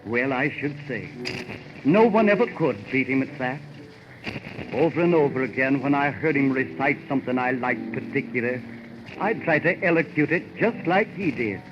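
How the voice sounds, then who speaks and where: A man's voice: mid-range voice, expressive, noisy background, close to an everyday voice. Muffled old radio folksy Hoosier played by a man. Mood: fond, reminiscing. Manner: homespun, rustic, slow recitation. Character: folksy old-time Hoosier character, proud poetry lover. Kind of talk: 1930s radio character acting. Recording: muffled 1930s radio aircheck.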